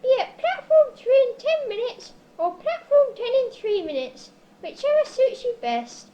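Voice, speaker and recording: An amateur putting on an earnest, gullible hero of a comic radio play, declaiming grandly in a very high voice that swings widely in pitch. The old cassette recording is muffled and theatrical delivery carries through it. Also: sing-song, measured pace, noisy background, heavily acted. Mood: excitable.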